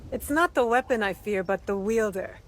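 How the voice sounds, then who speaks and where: A wary young female ninja warrior from a video game, played by an actress. She speaks in short, dry lines with a teasing edge, at a middling pitch, over light background noise. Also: moderate pace, natural voice. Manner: dry, terse banter. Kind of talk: video game cutscene voice acting.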